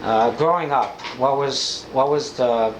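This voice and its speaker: A man conducts an informal interview in a medium-pitched voice, prompting with questions and repeated-back answers. The room is echoey, and there is clear background noise on the recording. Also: a little slow.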